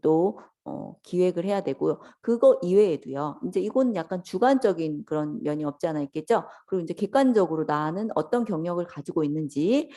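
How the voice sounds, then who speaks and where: A woman lecturer speaks in a slightly low, mellow voice that is hoarse from a lingering cold. She explains step by step in a conversational, instructional way, addressing her students politely and directly. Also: expressive, brisk, few pauses. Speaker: lecturer teaching an office software course.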